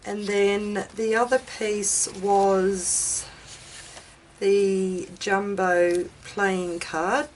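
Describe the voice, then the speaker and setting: Slow-paced, slightly raspy Australian woman. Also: mid-range voice, flat delivery, a little hiss or hum. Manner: self-talking, rambling, self-deprecating. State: ill with a chest infection. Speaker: craft video host. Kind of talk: casual chatty craft demonstration.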